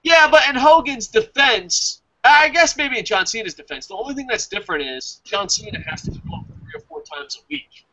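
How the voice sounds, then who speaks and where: A man's voice: very high voice, expressive, a little fast, hardly any pauses, bright, forceful tone, low-quality recording. An American man chatting casually and emphatically about his opinions as a review show host, swearing freely. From New Jersey, United States.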